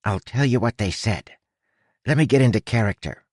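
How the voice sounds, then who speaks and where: A man's voice: medium pitch, lively intonation, moderate pace, a little mellow, no echo, clean studio sound, voice pushed up, natural voice. A man voicing a small, chatty male rat who is bossy, fussy and theatrical, in a storybook narration. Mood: cheeky. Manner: fussy, dramatic, exasperated.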